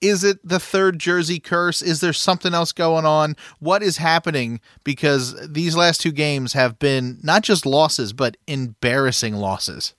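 A man hosting a sports podcast, opening with upbeat, brisk casual chat. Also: on the high side, fairly bright tone, no room sound, clean studio sound. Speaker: podcast host.

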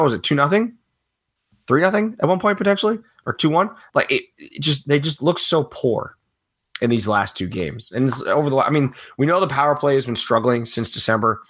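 A man with a cold speaks in a slightly raspy voice on a casual sports podcast. His pitch swings widely as he rambles through his opinions, and he breaks into laughter often. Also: fairly bright tone. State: has a cold, a little raspy. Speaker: regular podcast co-host.

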